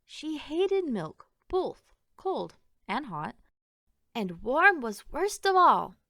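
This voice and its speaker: A woman reading a rhyming children's story aloud in an expressive, sing-song way. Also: high-pitched, extremely slow, no echo, clean studio sound. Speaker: picture book reader.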